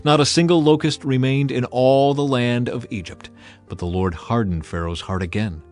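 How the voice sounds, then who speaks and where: Male narrator, mid-pitched, solemn and emphatic, for dramatized scripture audiobook with faint music underneath. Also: moderate pace, a little mellow.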